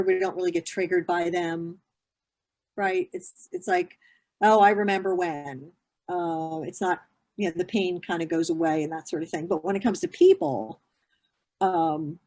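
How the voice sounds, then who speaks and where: A woman's voice: mid-range voice, darkish tone. Earnest woman in her fifties explaining. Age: 50s. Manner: earnest, explanatory, with frequent fillers and restarts. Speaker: grief therapist. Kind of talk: conversational interview answers.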